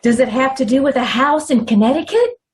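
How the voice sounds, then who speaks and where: A woman playing a devoted, sorrowful sweetheart in an old time radio drama, speaking earnestly and haltingly. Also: sits high, natural voice. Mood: gentle concern. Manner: halting, earnest, repeats herself.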